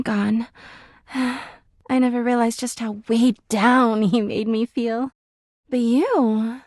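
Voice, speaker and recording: A woman's voice: high voice, darkish tone, no room sound, studio quality, full character voice. A woman voicing a clingy, jealous, melodramatic girlfriend character in a video game, with a whiny, volatile manner. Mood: earnest, smitten. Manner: dramatic, whiny, emotionally volatile. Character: clingy, jealous, melodramatic female living household object, toxic girlfriend.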